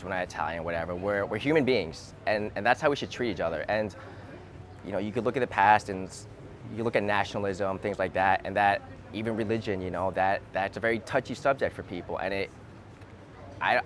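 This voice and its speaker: A man from the United States talking through his views in a relaxed conversation, hesitant and repeating words as he thinks aloud, recorded outdoors. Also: fairly low pitch. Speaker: interviewee. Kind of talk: thoughtful casual conversation. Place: outdoors in a city.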